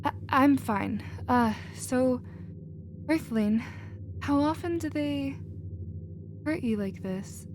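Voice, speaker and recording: A woman playing a gentle, emotional alien scientist in a scripted ASMR roleplay, earnest and expressive in manner. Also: sits high, slow pace, pauses often, background noise. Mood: tender, concerned. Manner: soft-hearted, earnest, expressive.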